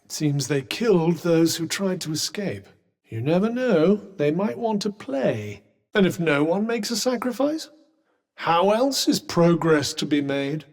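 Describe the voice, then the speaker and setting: An actor playing a haughty, well-spoken talking magic cuff in a video game, with a British accent and dry, sarcastic, formal delivery. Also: male voice, high voice, big pitch swings, measured pace, full character voice. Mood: measured, wry. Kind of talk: scripted video game companion dialogue.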